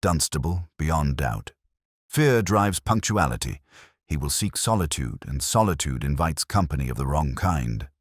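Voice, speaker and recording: A man voicing a shrewd, self-assured gentleman detective in an audiobook, with precise, formal pronouncements. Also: a bass voice, expressive, a little slow, dry recording, studio quality, exaggerated voice. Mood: cryptic, assured.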